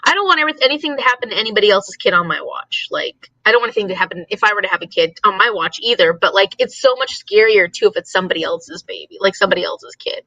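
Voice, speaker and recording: Woman, bright forceful voice, giggly casual stream chat, repeats words for emphasis, slightly dull dry audio. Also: medium pitch, expressive, fast, hardly any pauses, no room sound. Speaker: co-host of a live reading sprint stream.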